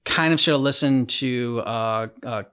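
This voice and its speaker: A man hosting a reaction show, talking casually and haltingly as he thinks aloud. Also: mid-range voice, a bit bright, clear, resonant tone. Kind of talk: casual discussion.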